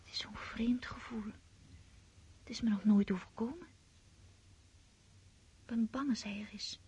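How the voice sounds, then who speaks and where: Radio play ingénue: lovestruck, devout seventeen-year-old, whispery and very breathy, lightly high. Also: female voice, a little slow, dark tone, full character voice. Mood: anxious, wondering. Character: romantic, anxious, devout girl of seventeen in love.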